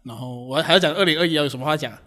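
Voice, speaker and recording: A man's voice: fairly high pitch, very fast, pushed and bright. A man with a Malaysian Mandarin accent chatting casually as a podcast guest, joking and self-deprecating. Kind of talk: casual chat.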